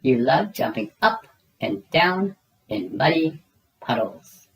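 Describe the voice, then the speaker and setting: A woman voicing a gentle, patient mother pig who speaks kindly. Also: deep voice, very animated, slow pace, exaggerated voice, low-quality recording. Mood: fond.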